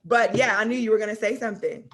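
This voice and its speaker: A woman's voice: a little high, fast talker. A woman co-hosting a podcast discussion, talking in an earnest, reflective way and often checking in with "right?" and "you know". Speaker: podcast co-host.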